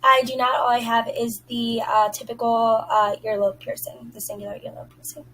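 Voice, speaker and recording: Young woman, higher-pitched voice, polite and agreeable, informal phrasing, job applicant in a phone interview. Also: fairly even intonation, normal speed, sharp tone, slight background noise, low-quality recording. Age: young adult. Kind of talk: phone interview answers, conversational.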